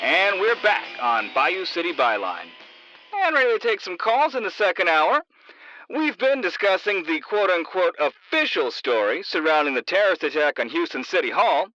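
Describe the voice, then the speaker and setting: A man's voice: high voice, very animated, moderate pace, pushed and bright, no echo, muffled recording, soft background music, heavily acted. An actor playing a brash talk radio host with showman patter, in a radio drama. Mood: upbeat.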